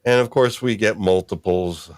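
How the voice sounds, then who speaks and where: Male host, low register, dry close sound, informal rambling fan commentary. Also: normal speed, dry recording. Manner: chuckling, rambling fan talk. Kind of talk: casual live-stream discussion.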